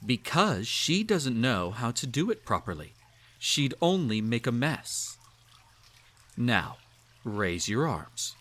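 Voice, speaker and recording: Man acting slow, haughty, cruel emperor. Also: mid-range voice, very animated, exaggerated voice. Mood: indulgent, teasing. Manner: haughty, condescending, drawn-out teasing delivery with soft chuckles. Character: cruel possessive emperor, condescending, silkily indulgent, menacing. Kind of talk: roleplay audio acting, intimate second-person monologue.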